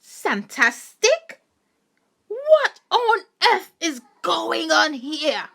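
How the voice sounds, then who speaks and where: A woman's voice: extremely high pitch, sing-song, very slow, fairly bright tone, full character voice. A woman playing Santa's imperious, hot-tempered wife in a radio play, haughty and commanding. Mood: furious, calling out. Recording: clear background noise.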